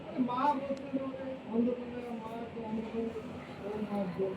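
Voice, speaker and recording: A man's voice: extremely high pitch, normal speed. A man playing an evasive young husband in a domestic drama, pleading and justifying himself repetitively. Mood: self-justifying. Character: evasive young husband, devoted to his lover, dismissive of his wife. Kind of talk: amateur domestic drama acting. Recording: echoey room, distant mic, muffled, faint noise.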